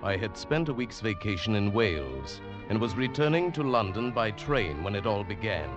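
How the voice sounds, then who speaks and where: A man playing a formal, sceptical gentleman traveller who narrates an eerie 1940s radio drama. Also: lowish voice, moderate pace, highs cut off. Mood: haunted recollection. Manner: formal, expressive, polite but incredulous. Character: reserved, sceptical English gentleman traveller recounting an eerie tale. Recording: vintage radio drama, music behind.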